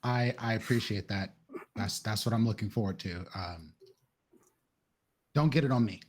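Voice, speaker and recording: A man with a husky voice, roleplaying casually as a player in a tabletop game, with a wry, dramatic, sweary manner. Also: fairly low pitch, moderate pace, darkish tone. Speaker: tabletop role-playing player. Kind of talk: casual in-character roleplay and narration.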